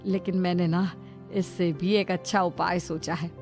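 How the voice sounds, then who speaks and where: A woman's voice: lowish voice, little pitch movement, unhurried, darkish tone, soft background music. A woman voicing a bossy middle-aged mother-in-law in a dramatic, emphatic cartoon style. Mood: fond, worried. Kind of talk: Hindi cartoon story acting.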